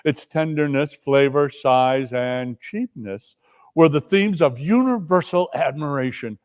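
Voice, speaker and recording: A man giving a theatrical storybook narration, deliberate and expressive. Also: fairly high pitch. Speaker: narrator in a dramatic reading.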